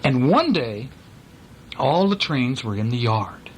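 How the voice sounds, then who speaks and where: Playful dad reading bedtime stories aloud, very lively swooping intonation, slightly noisy home recording. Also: male voice, medium pitch, slow. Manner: playful, acts out sound effects and asks questions. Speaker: father reading a bedtime story to his children. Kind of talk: casual story reading to young children with asides and questions.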